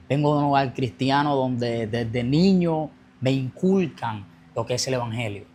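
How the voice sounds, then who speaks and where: A young man telling his personal faith testimony as a guest, earnest, speeding up as he recounts his past. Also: mid-range voice. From Colombia.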